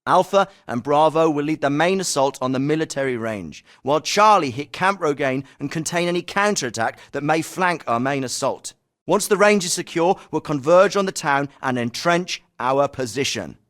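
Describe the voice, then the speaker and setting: An actor playing an authoritative captain with a clipped, commanding delivery in a military video game. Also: male voice, on the high side, expressive, moderate pace, fairly bright tone, no echo, clean studio sound. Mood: firm, briefing. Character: authoritative male Royal Navy special forces captain. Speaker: military officer, squad commander. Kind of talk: scripted military game dialogue.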